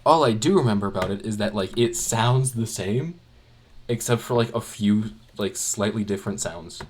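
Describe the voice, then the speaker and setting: A man's voice: lowish voice, normal speed, slight background noise. A young man chatting casually while he draws, rambling with many fillers and restarts. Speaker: art channel creator. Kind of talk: casual chat while drawing.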